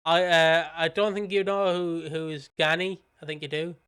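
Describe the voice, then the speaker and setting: A man's voice: fairly high pitch, level tone, normal speed, a bit bright, clean tone. A British man co-hosting a podcast in casual chat, giggly and teasing, sometimes reading out notes. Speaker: podcast co-host.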